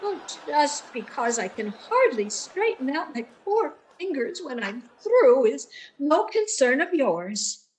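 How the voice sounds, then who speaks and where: A woman's voice: high voice, very animated, slow pace, exaggerated voice, low-quality recording. A woman playing a motherly older landlady in a radio sitcom, wheedling and guilt-tripping with martyred self-effacement.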